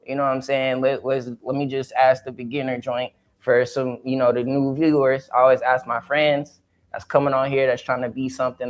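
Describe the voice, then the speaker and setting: Male interview host, hyped, slangy, conversational, mid-range voice. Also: medium pitch. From Seattle. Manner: hyped, slangy, keeps saying "you know what I'm saying". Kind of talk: casual interview chat.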